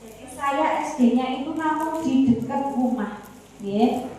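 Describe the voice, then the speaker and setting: A woman's voice: high-pitched, slow, warm tone. A woman in her thirties from Wonogiri with a Javanese accent, giving a chatty health talk to elderly people and often asking them questions. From Wonogiri, Central Java, Indonesia. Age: 30s. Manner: chatty, asks the audience questions. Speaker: health educator at a community health center. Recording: echoey hall, far from mic, crowd behind.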